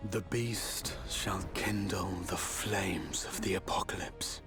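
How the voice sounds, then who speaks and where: A solemn male storyteller of an apocalyptic game epic. The delivery is grave and portentous, deep in pitch and very unhurried, with soft music beneath. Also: in a whisper. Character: solemn male narrator of a doom-laden epic tale. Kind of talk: scripted dramatic game narration.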